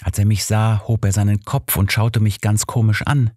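A man's voice: fairly low pitch, level tone, normal speed, a little mellow, no echo, studio quality, lightly acted. A man voicing a frightened, hurt young girl in an audiobook, with plain, hesitant childlike wording. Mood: uneasy, confiding. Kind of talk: audiobook character reading.

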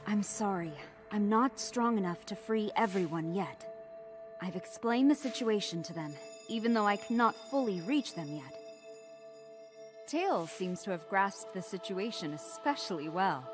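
A woman voicing a solemn, dignified mystical sheep guardian in a video game, formal and measured. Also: medium pitch, expressive, close to an everyday voice. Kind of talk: animated video game cutscene acting. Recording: music under the voice.

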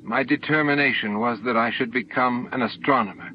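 A man voicing a gentle, affectionate and sorrowful young female alien in an audiobook, speaking formally. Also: mid-range voice, brisk, lightly acted. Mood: pained. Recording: dull noisy audiobook recording.

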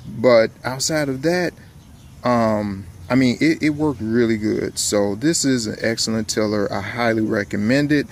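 A man's voice: mid-range voice, unhurried. A man casually walking through his yard work on a handheld phone camera, talking loosely with lots of fillers and restarts, outdoors. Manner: relaxed, rambling, many fillers and restarts. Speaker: home improvement vlogger. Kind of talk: casual filming-as-he-works walkthrough. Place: outdoors in a home front yard.

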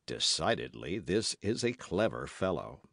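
Low grave weary swordsman, cool and proud, read by a man. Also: very animated, a little slow, gravelly, a bit bright, no room sound, clean studio sound, exaggerated voice. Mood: wry.

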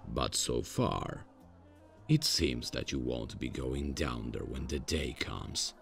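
A man playing an awkward, menacing demon in a comedic ASMR roleplay, speaking in a stilted, halting, deadpan way with a deep gravelly voice. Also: faint music behind, full character voice. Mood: dry, curious. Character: awkward, menacing male demon on a blind date.

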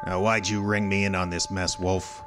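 An actor playing a brusque, slangy, hard-boiled suspect in an old-time radio style crime drama, cocky and defensive. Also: male voice, sits low, level tone, normal speed, over music, natural voice.

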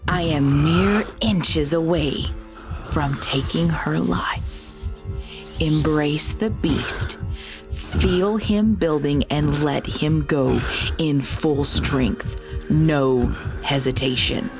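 A woman acting a commanding, taunting supernatural mentor in a dramatic audio roleplay, with a deliberate, imperious delivery. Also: sits low. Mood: menacing, taunting. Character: commanding, taunting female supernatural mentor, menacing then caring. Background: soft background music.

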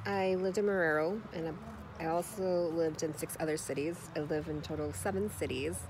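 A woman's voice: low voice, steady tone, moderate pace, over loud music. A woman in her thirties chatting casually in a vlog, filmed on a phone held at arm's length outdoors and in a car. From United States. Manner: chatty, self-correcting, recorded at arm's length while walking and riding. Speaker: vlogger. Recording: noisy phone recording outdoors.

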